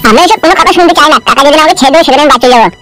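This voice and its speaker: A man's voice: extremely high pitch, very fast, bright, forceful tone, faint music behind. A man voicing a timid, naive, protesting married simpleton who frightens easily, in a comedy cartoon. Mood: fearful protest. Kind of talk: amateur cartoon comedy dubbing.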